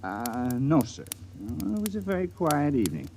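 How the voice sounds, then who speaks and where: A man's voice: fairly high pitch, wildly swooping pitch, moderate pace, darkish tone. An actor playing a deferential robot double of a man in a 1950s radio drama, formal and obliging. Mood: politely obliging. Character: polite, deferential male robot double of a husband. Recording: noisy vintage 1950s radio recording.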